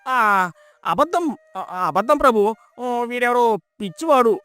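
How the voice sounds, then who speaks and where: A man's voice: extremely high pitch, expressive, slow, sharp tone, soft background music, heavily acted. A man playing a sly, greedy, cowardly village headman in a folk tale, ingratiating and easily flustered. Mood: panicked, pleading. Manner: ingratiating, flustered when challenged. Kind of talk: dramatised folk-tale acting.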